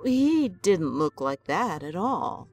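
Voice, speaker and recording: An actress playing an elderly grandmother and wife, gentle and earnest, in an audio drama. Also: on the low side, sing-song, slow, no echo, full character voice. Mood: troubled. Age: older. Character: elderly grandmother, lonely and worried.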